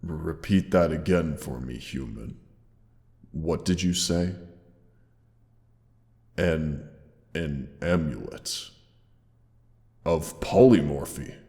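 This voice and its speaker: A gentle old male dragon, acted by a man in an audio drama, very low and unhurried. He speaks with noble, formal tenderness and leaves dramatic pauses. The voice rings in long, cavernous reverb. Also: full character voice. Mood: intrigued. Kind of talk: roleplay audio drama, scripted monologue.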